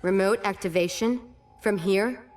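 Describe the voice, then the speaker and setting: A woman's voice: mid-range voice, a little slow, a little mellow, soft background music, close to an everyday voice. A woman playing a serious military commander in a video game, terse and insistent. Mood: urgent questioning. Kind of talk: dramatic video game voice acting.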